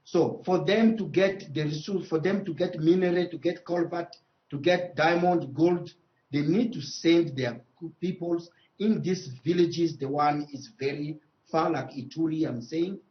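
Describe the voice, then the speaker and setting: Higher-pitched Congolese man, emphatic. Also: highs cut off. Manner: emphatic, repeats phrases, halting second-language phrasing. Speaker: traditional leader, interview guest. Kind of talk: live news interview.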